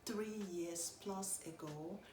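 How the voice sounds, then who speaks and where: A woman's voice: fairly low pitch, slow, reverberant, rough recording. A young woman vlogging casually about hair care, chatting to her viewers and calling them guys. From Liberia. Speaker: natural hair vlogger and braider. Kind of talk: casual vlog chat and voiceover.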